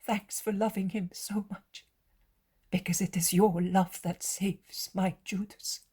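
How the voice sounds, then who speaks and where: A woman's voice: medium pitch, steady tone, slow, warm tone, slightly rough, pitched above the performer's own voice. A woman voicing a devout, anxious mother in a storybook, speaking in an imploring and effusive way. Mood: tearful joy.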